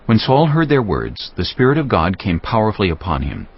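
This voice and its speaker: Very deep, husky male scripture narrator. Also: wildly swooping pitch, fairly quick. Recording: slightly muffled studio sound.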